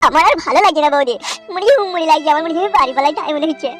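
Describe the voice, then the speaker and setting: Heartbroken village fool for cartoon comedy performer acting, high whining voice, fast talk, music bed. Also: expressive, a bit bright. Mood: sulky. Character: whiny, heartbroken cuckolded husband, comic cartoon fool. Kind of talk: amateur Bengali cartoon comedy dialogue. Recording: music under the voice.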